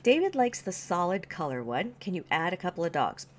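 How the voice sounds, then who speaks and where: A woman's voice: fairly low pitch, big pitch swings, a little fast, slight background noise. A woman from Canada co-hosting a casual livestream chat at an off-grid cabin, reading viewer comments and laughing often. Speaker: livestream co-host.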